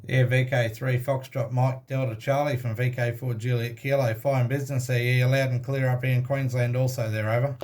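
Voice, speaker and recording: A man's voice: mid-range voice, level tone, moderate pace, few pauses, noisy background. An Australian man talking casually and matter-of-factly as an amateur radio operator making contact with another station. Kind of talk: casual radio contact chat with call signs.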